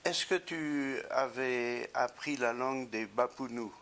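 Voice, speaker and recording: A man's voice: medium pitch, takes it slowly, a bit bright. A man introducing a documentary segment and asking interview questions, with a few hesitations.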